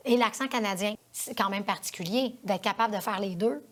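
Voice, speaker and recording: A woman's voice: medium pitch, fairly quick. A woman with a Quebec French accent interviewing a guest as host of a TV show, in a conversational studio style.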